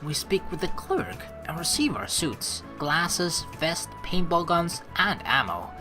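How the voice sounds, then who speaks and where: A woman's voice: on the low side, big pitch swings, slow. A woman hosting a let's play, chatting informally with rambling asides and reading the game's story text aloud. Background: game music.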